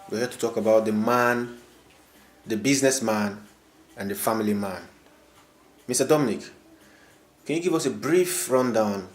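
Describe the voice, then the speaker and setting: A man hosting an interview, asking questions in formal, flowery phrasing. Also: lowish voice. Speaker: interviewer, host. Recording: echoey room.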